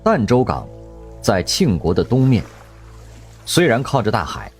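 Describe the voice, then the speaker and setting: Low-voiced, expressive male story narrator. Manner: measured literary storytelling. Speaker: narrator of an audio drama. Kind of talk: scripted dramatic story narration.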